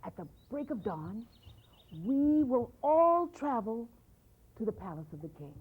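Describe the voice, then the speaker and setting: A woman's voice: a little high, big pitch swings, a little slow, darkish tone, husky, exaggerated voice. A woman voicing a proud village father announcing a journey to his villagers in a storybook tale. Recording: tinny phone-like sound.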